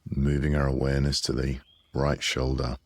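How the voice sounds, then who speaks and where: An extremely low-pitched man guides a relaxation meditation. His delivery is soothing and unhurried, with long pauses between phrases and very little rise or fall in pitch. The recording is close and dry, with no room sound. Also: completely flat delivery, no room sound.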